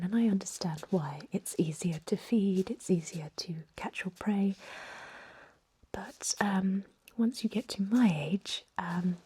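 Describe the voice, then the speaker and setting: A woman voicing a kindly, chatty old vampire medic in a gentle, breathy whisper for an ASMR role-play. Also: medium pitch, dry recording. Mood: musing, chatty. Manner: gentle, rambling, reassuring bedside talk. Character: kindly, chatty, aged female vampire medic, pureblood purist.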